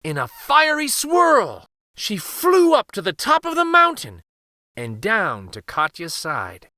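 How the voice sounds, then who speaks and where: Male children's story reader: unhurried, very expressive, bright tone, dry close recording, dramatic picture-book delivery. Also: high voice, no echo. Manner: expressive, deliberate, theatrical.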